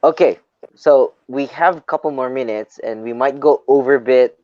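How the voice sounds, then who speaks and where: Webinar host guy, chill and friendly, flat muffled call sound. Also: mid-range voice, normal speed, no echo. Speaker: moderator. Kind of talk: webinar moderation. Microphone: video call.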